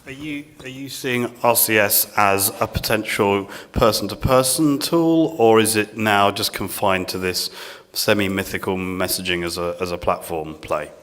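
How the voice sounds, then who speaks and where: A man in a conference audience asking questions in a halting, wry way. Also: lowish voice, a bit bright. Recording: reverberant conference hall.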